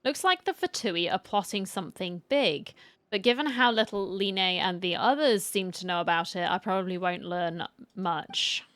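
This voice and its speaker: A woman's voice: medium pitch, normal speed, a bit bright, dry recording. A woman streamer giving casual, thoughtful reaction commentary on a game with brief interjections, close on the mic.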